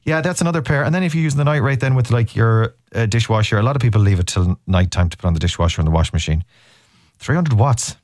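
A man's voice: medium pitch, lively intonation, fast talker, darkish tone, no echo, clean studio sound. Rambling radio host man. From Ireland, Cork. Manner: rambling, jokey, self-interrupting. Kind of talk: casual radio chat. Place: radio studio. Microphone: studio microphone.

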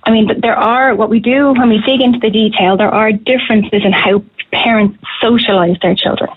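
A woman, a clinical psychologist answering radio interview questions in a measured, hedging way with frequent restarts. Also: fairly high pitch, fairly even intonation, breathy edge. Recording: phone line.

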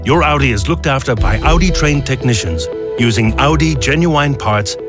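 A man's voice: medium pitch, expressive, moderate pace, over music. Polished male car-ad voice. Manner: polished, persuasive. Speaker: ad voice-over. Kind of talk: smooth car ad.

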